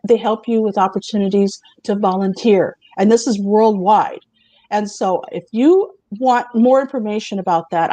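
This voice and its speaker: A woman's voice: medium pitch, darkish tone, no echo. A woman host who chats casually, laughs often and reads aloud from her notes. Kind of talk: casual chat.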